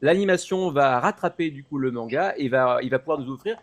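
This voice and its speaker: A man chatting through anime news as a show commentator, explaining in an animated, digressive way. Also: medium pitch, fairly quick. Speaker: commentator on a web talk show about anime. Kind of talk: casual news chat.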